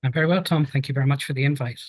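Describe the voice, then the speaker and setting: A man in his fifties with a British accent, telling his story in a measured, reflective way as an interview guest. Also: medium pitch, fairly even intonation, dry recording. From United Kingdom. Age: 50s. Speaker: guest, health and safety professional.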